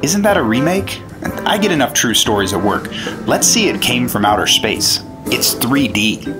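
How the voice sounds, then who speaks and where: An actor playing an earnest, straight-laced 1950s FBI agent in a retro radio drama, matter-of-fact and clipped. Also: male voice, mid-range voice, big pitch swings, moderate pace, music in the background, close to an everyday voice. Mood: lighthearted. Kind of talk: retro radio drama acting with first-person narration.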